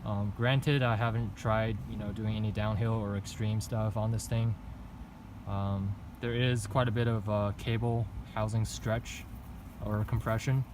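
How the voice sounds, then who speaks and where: Male vlogger, lowish pitch, hesitant off-the-cuff explainer, recorded outside amid obvious traffic noise. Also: flat delivery, unhurried. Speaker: vlogger reviewing own bike setup. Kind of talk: casual unscripted product walkthrough. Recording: noisy outdoor recording with traffic rumble.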